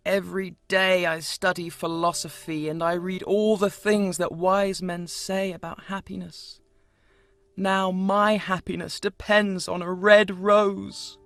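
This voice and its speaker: A man's voice: high-pitched, little pitch movement, a little slow, a bit bright, faint music behind, voice pushed up. A man voicing a lovesick young student who laments earnestly and pities himself in a storybook tale. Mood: forlorn. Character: lovesick, self-pitying young male student.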